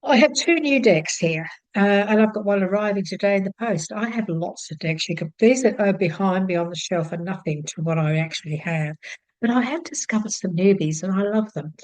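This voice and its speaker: A woman's voice: mid-range voice, a little fast, darkish tone, no room sound, poor audio. An older Australian woman talking to camera from her home, chatty and friendly with many fillers and restarts. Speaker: psychic channel host. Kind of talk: casual talk to camera. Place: home room with shelves of card decks.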